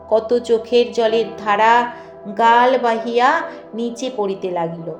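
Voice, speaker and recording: A woman narrating a literary novel in a measured, expressive way with deliberate pauses. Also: on the high side. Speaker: audiobook narrator. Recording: echoey voice with music behind. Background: music.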